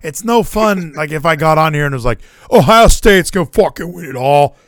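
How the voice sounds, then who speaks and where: Animated, higher-voiced American man chatting sports. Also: normal speed, no echo, studio quality. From Hawaii, United States. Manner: rambling, animated, profane, opinionated. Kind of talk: casual sports chat.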